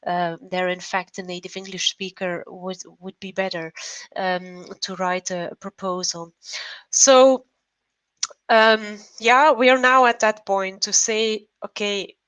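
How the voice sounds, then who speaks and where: A woman answering as a guest on a panel, hesitant and thoughtful, filling pauses with ums. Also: medium pitch, fairly even intonation, a bit bright, clean tone, poor audio. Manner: slow and hesitant, many ums. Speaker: panel guest, company representative.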